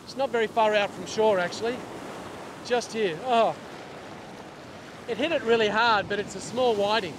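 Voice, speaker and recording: A man's voice: high voice, moderate pace, pauses often. A middle-aged Australian man talking casually to camera as a fishing instructor, explaining as he goes. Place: open ocean beach, outdoors. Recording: outdoor wind and surf noise. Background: waves, surf and wind.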